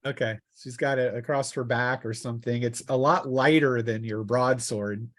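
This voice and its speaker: A man runs a tabletop roleplaying game as its game master. His mid-pitched voice is casual and improvised, often hesitating as he works out the narration. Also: steady tone, moderate pace.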